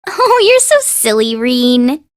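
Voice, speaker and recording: A woman's voice: a little high, big pitch swings, slow pace, no room sound, clean studio sound. A woman playing a playful young princess in an anime-style game, coy and refined as she teases. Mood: playful teasing.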